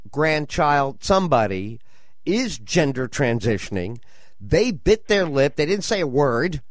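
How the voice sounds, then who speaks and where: A man's voice: a little high, no echo, studio quality. A man hosting a conversational radio talk show, with an effusive manner and dramatic phrasing.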